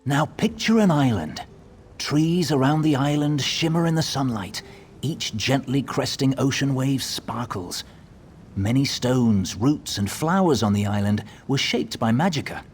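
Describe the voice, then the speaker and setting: An actor voicing a whimsical, coy gatekeeper who tells stories theatrically in a fantasy game. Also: male voice, medium pitch, animated, unhurried, slight background noise, lightly acted. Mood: hushed scene-setting. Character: whimsical, courteous-demanding male gatekeeper and storyteller. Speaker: storytelling gatekeeper.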